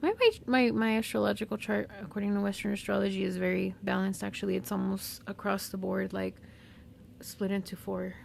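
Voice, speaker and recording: Southern california woman, spanish first language, super gravelly fry, talks slow and thinks out loud, flat-ish delivery, bit of hiss behind. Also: medium pitch. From Mexican-American. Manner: slow, reflective, with pauses and fillers. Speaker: podcast host, therapist. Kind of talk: unscripted personal monologue.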